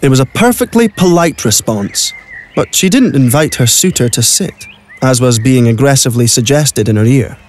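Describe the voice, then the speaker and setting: A man's voice: mid-range voice, lively intonation, moderate pace, lightly acted. A man narrating a scripted audio drama as the storyteller.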